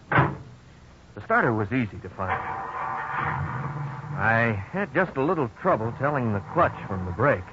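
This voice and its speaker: Earnest folksy man telling his own past, acted: mid-pitched, very animated, vintage radio narration. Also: natural voice. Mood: excited, boastful. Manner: folksy, expressive storytelling. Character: grown-up Vic, earnest man recalling his youthful blunder. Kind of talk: 1950s radio drama first-person narration. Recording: dull, noisy old radio recording.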